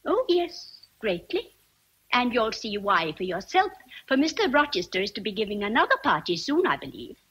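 A woman's voice: a little high, very animated, moderate pace, a bit bright, breathy edge, exaggerated voice. An actress playing a chatty, motherly housekeeper in a radio drama, savoring her words with emphasis. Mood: confiding. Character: gossipy, motherly housekeeper, respectful of rank. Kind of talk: vintage radio drama acting.

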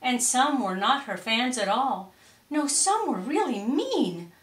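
A middle-aged woman reading a children's storybook expressively, with deliberate, theatrical emphasis in a roomy space. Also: on the high side, sing-song. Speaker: storytime reader. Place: indoors, curtained room or small stage. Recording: roomy echo on voice.

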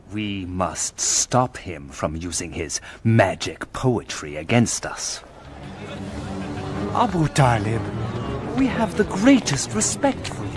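Scheming Arabian chief, animated film, high swooping voice, forceful, theatrical. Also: male voice, heavily acted.

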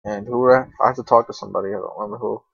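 A man's voice: lowish voice, fairly even intonation, very fast, creaky, breathy edge, no room sound. A young man giving casual, unscripted game commentary, rambling to himself in slang with some swearing. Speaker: gaming video creator. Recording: dull, lo-fi microphone.

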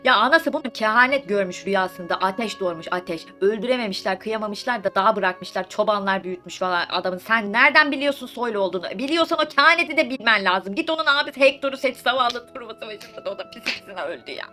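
A woman's voice: mid-range voice, fast, hardly any pauses, bright, forceful tone. A woman telling stories as a comedic host, chatty and gossipy, with sarcastic, mock-indignant asides and theatrical rhetorical questions in casual slang. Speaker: storyteller host of a comedic mythology series. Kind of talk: comedic casual storytelling, retelling myths in slang. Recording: voice over background music. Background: music.

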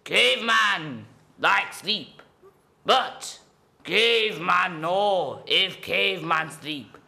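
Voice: mid-range voice, extremely slow, creaky, sharp tone, full character voice. A teenager acting a hungry, simple-minded caveman who grunts in broken English in a stage play. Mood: blunt, hungry. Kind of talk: school readers theater performance. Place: school stage in an auditorium.

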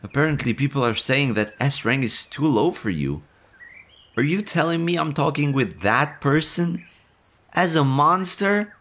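A man voicing a timid young male slime monster in an audio roleplay, nervous and stammering, quick to worry. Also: on the high side, animated, a bit bright. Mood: worried. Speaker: voice actor.

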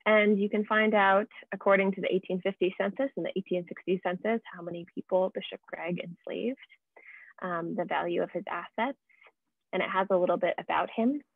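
A young American woman giving an informal, explanatory slide presentation over a video call, speaking somewhat haltingly. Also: medium pitch, steady tone, creaky, breathy edge, dry recording. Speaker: project presenter. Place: indoors at home, on video call. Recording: muffled, band-limited video call audio.

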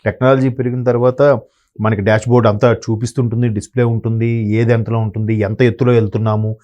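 A man with a mellow, warm tone gives conversational commentary as an analyst guest. He speaks, hesitating often with fillers and pausing to hunt for the right word. His pitch sits in the middle range. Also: level tone, highs cut off. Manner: hesitant, many fillers and word-searching pauses.

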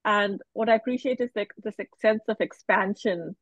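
A woman novelist on a video call, reflecting slowly and often restarting her phrases. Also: medium pitch, dry recording. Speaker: novelist panelist. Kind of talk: casual panel discussion. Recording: dull video call audio.

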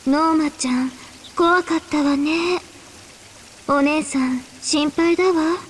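A woman playing a sweet, gentle, polite young woman in an anime-style Japanese game. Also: sits high, takes it slowly, slight background noise. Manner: soft-hearted, polite.

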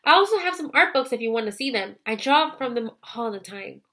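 A woman's voice: a little high, lively intonation, fairly quick, fairly bright tone. A woman voicing a shy, earnest young schoolgirl in a children's storybook, speaking politely. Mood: friendly, eager.

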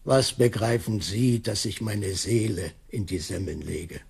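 An actor playing a pompous, timid master baker in a radio play, speaking rhetorically with a husky, creaky voice. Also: male voice, fairly low pitch, unhurried, darkish tone, heavily acted. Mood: brooding, self-pitying. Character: brooding, grandiloquent master baker, timid and anxious. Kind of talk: 1980s German radio play acting.

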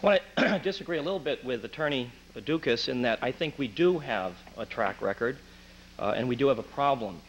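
Hoarse man in his thirties, earnest public commenter at podium, throat-clearing, light hum. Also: medium pitch, lively intonation, fairly quick, poor audio. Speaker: physician speaking at public comment. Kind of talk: public comment at town council meeting. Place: town council chamber, at a podium. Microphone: podium mic.

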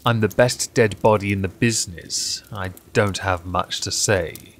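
A man with a fairly deep voice recites poetry at a very slow pace. His phrasing is deliberate and theatrical, with measured pauses. Some noise sits behind the recording. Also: fairly bright tone. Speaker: poetry reader and channel host. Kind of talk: measured dramatic poetry reading. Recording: clear background noise.

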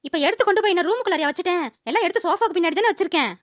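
A woman's voice: extremely high pitch, animated, very fast, no room sound. A woman voicing a whiny, dramatic, sweet-greedy grown daughter in a cartoon. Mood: sulky, accusing. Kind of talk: Tamil cartoon voice-over acting.

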